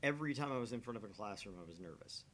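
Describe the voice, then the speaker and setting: A man's voice: animated, racing, a bit bright. A man hosting a livestream, chatting casually and earnestly into a microphone. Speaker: livestream host of a gaming channel. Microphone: microphone on a stream setup. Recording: noisy mic with low rumble.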